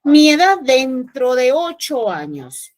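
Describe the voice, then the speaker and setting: A woman's voice: high-pitched, sing-song, takes it slowly, a little mellow. Woman teaching math online. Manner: explains step by step, asks "¿verdad?" often.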